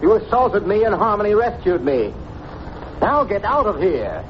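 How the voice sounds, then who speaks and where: A fussy, indignant young antique dealer in a vintage radio play. His voice sits high and swings widely as he protests in an affected, excitable way. The sound is muffled with slight noise. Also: moderate pace. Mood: affronted. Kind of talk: old-time radio drama acting.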